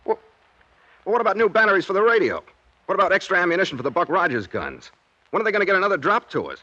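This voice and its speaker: An actor playing a wry, grumbling American army lieutenant with a dry, sarcastic manner, in a 1940s radio drama. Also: male voice, high-pitched, sing-song, racing, sharp tone, dull audio, heavily acted. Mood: exasperated. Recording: vintage 1940s radio recording.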